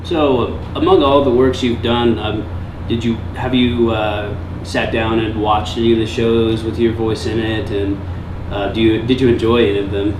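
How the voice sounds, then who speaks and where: A man's voice: fairly low pitch, flat delivery, moderate pace. A man interviewing a voice actor, asking hesitant, casual questions full of fillers. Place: convention room with air conditioning. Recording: echoey room, loud hum.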